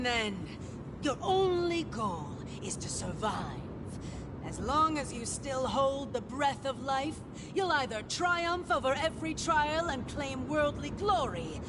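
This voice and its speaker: High, grand, haughty female festival herald. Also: noisy background. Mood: grand, solemn. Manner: declamatory, scornful. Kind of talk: grand theatrical game voice acting. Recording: loud game music underneath.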